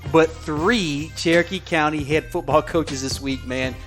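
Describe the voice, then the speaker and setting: A man's voice: on the high side, measured pace, a bit bright, faint music behind. A man co-hosting a sports podcast, chatting casually in a folksy, colloquial, enthusiastic way. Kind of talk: casual sports chat and analysis.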